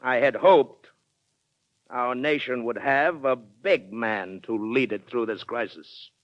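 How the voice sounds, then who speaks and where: An actor playing a proud, stubborn retired colonel with an American accent, formal and emphatic in 1940s radio acting style. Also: male voice, medium pitch, slow pace, no room sound, natural voice. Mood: bitter, defiant. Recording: dry, muffled 1940s radio recording.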